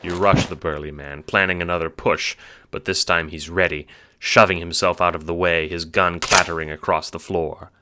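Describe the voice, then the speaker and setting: A man's voice: sits low, steady tone, normal speed, noisy background. A man giving dramatic, scripted storytelling narration for an audio drama.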